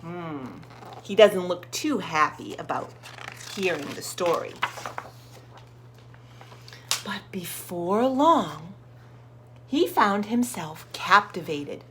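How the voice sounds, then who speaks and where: A woman reading a storybook aloud to young children, expressive and encouraging, putting questions to her listeners. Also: fairly low pitch, takes it slowly, slight background noise.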